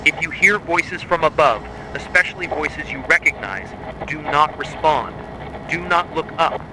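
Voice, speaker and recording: Man, somewhat higher-pitched, grave, measured, official horror broadcast narration, noticeable background noise. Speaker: narrator of a mock emergency broadcast. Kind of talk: scripted horror narration as official emergency bulletin.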